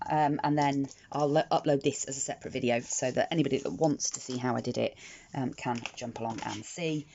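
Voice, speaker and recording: British woman, croaky, gravelly, chatty craft tutorial, lots of ums, slightly muffled audio. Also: extremely low pitch, a little mellow. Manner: chatty, frequent ums and restarts. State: croaky from the morning. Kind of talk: casual step-by-step craft tutorial. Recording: slightly dull lo-fi audio with faint noise.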